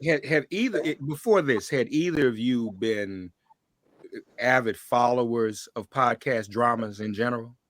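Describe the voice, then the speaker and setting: A man's voice: mid-range voice, a little slow, fairly bright tone. A man hosting a conversational talk show interview, speaking effusively and often repeating his phrases.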